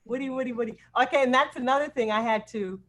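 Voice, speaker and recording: A woman hosting a casual video call, chatting in an excitable way. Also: a little high, fast. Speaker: acting coach hosting the event. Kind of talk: casual chat.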